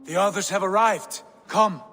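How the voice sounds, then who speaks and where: An actor playing a commanding, welcoming Viking jarl in a video game, with a formal, measured, oratorical delivery. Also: male voice, sits high, pushed and bright. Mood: stately, welcoming. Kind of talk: dramatic video game cutscene acting. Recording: long hall reverb, music behind.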